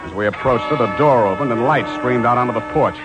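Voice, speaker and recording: An actor playing a cool, wry private detective in a 1940s radio drama, narrating in terse deadpan lines. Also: male voice, medium pitch, quick pace, lightly acted. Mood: tense, hardboiled. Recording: old radio recording with loud music.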